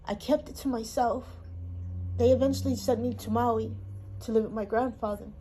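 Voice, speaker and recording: A woman from Hawaii, telling her life story in a halting, emotional way with pauses. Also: fairly high pitch, fairly even intonation, warm tone, a little hiss or hum, rough recording. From Honolulu, Hawaii. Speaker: interviewee. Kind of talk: personal testimony.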